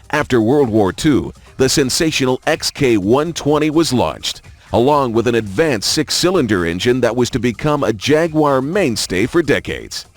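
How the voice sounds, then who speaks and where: A man narrating a scripted promotional documentary in a polished, measured announcer delivery. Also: medium pitch. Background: music.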